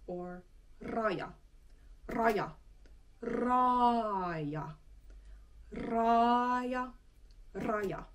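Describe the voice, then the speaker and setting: Encouraging woman teaching language basics. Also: a little high. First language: Finnish. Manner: friendly, encouraging, explains step by step. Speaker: language teacher and channel host. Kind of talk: casual explanatory lesson to camera.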